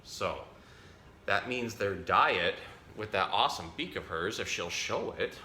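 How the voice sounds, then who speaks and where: A man's voice: lowish voice, expressive, measured pace, sharp tone. A man giving a casual, chatty educational talk for children as a zookeeper, explaining things simply and correcting himself as he goes. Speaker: zookeeper presenting an animal storytime. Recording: roomy echo, faint background noise.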